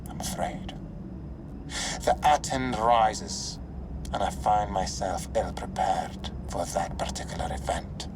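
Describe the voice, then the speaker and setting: An actor playing a dry, sardonic veteran operative giving a mission briefing in a video game, wry and understated. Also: male voice, lowish voice, background noise. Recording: music playing behind the voice.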